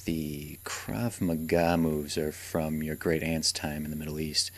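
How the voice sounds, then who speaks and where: A veteran vampire hunter and doting father, played by a man in a radio drama. His voice sits low, and he talks in a joking, encouraging way. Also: flat delivery, measured pace, full character voice. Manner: jokey, encouraging, laughs often. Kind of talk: hobby radio drama acting.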